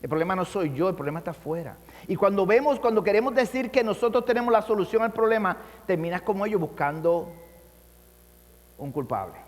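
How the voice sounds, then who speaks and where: A man's voice: high-pitched, animated, brisk. A pastor preaching a sermon with impassioned emphasis, his voice rising and falling to drive points home. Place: church hall. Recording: long church reverb.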